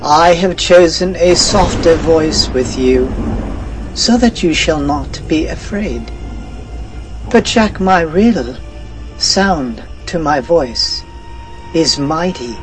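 A man voicing an archangel guardian speaking in a deliberately gentle, softened voice, measured and reassuring, in a storybook audio drama. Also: on the high side, lively intonation, music in the background, pitched above the performer's own voice.

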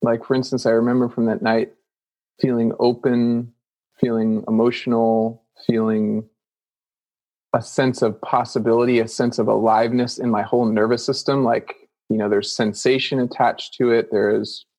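A man's voice: mid-range voice, little pitch movement, normal speed, darkish tone, poor audio. Thoughtful man hosting a podcast. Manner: searching and thoughtful, circles around words, affirms with "yeah". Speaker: podcast host. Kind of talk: casual interview questions.

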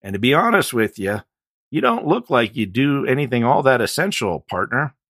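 A man's voice: mid-range voice, big pitch swings, normal speed, fairly bright tone, no room sound, clean studio sound, voice pushed up. A man voicing a disdainful male sheriff's deputy in an audiobook, bossy and condescending. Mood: scornful, commanding. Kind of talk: audiobook character dialogue.

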